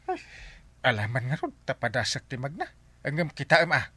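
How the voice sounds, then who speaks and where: A man's voice: mid-range voice, huge pitch swings, fairly quick, bright, forceful tone, no room sound, full character voice. A man playing a cowardly, scheming village captain in a comedy radio drama, with an Ilocano accent and a moaning, stammering, exclamatory delivery. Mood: nervous, flustered. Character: scheming tipsy male village captain faking agony, comic, cowardly.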